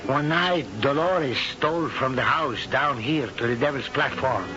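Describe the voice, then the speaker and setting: An actor playing a proud, anguished older Spanish husband in a halting, melodramatic 1970s radio drama style. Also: male voice, medium pitch, natural voice. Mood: sorrowful, confessing. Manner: slow, halting, melodramatic delivery. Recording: dull old radio broadcast with music.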